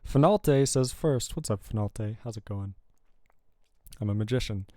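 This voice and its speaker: Relaxed man with a dark, dry voice. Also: fairly low pitch, unhurried, no echo. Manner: relaxed, rambling, self-correcting. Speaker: programming livestream host. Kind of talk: casual live coding chat.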